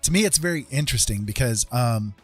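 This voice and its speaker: A man hosting a live stream in a casual, rambling chat, often pausing mid-thought and repeating his phrases. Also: mid-range voice, lively intonation, faint music behind.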